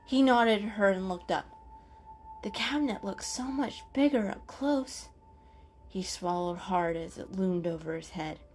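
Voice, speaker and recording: A woman's voice: mid-range voice, a little slow, a little mellow, soft background music. A woman narrating a children's story as a scripted dramatic reading.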